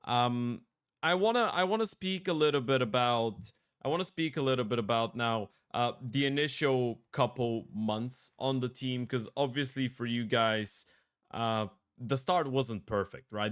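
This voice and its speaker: Clear-voiced male interviewer. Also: medium pitch, moderate pace, fairly bright tone. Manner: long rambling questions with repeated restarts. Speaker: podcast host, interviewer. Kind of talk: casual interview questions.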